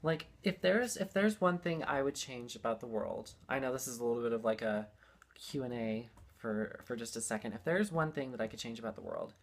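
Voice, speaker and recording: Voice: mid-range voice, expressive, a little fast. A young adult speaker telling personal stories in a casual, heartfelt way, rambling and repeating phrases for emphasis.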